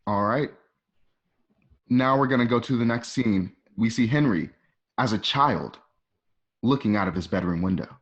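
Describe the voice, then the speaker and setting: A man's voice: on the low side, normal speed, long pauses, dull audio. A man reading stage directions for a radio drama in a conversational way, with casual asides. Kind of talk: informal scripted narration for radio drama.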